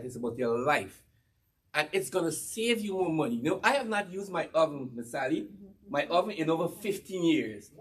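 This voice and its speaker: A Guyanese man with a fairly high voice pitches cookware to a live audience. He quizzes the room, repeats his key lines, and keeps the energy up. He sounds set back from the microphone. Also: fairly bright tone, distant mic. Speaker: cookware presenter at a church gathering. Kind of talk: live demonstration talk to an audience.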